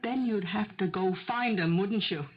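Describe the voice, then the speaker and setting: An actress in a 1970s radio drama playing a desperate, stubborn middle-aged mother who argues insistently for her accused son, with a husky voice. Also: medium pitch, normal speed, natural voice. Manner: insistent, argumentative, pleading. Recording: dull, lo-fi old radio recording.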